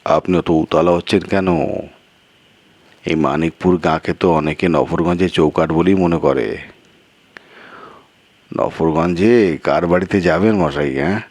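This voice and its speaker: A man's voice: low-pitched, measured pace, pauses often, a little mellow, dry recording. A man voicing a sly, evasive village local in a storytelling piece, with a drawling, folksy and boastful manner. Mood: soothing, nosy. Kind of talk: acted storytelling dialogue.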